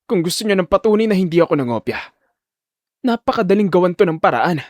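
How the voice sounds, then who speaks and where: A man voicing a determined, defiant male high school student in an audio drama, speaking firmly and formally. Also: big pitch swings, fast talker, a little mellow, no room sound, studio quality. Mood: controlled anger. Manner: firm, formal, respectful but challenging.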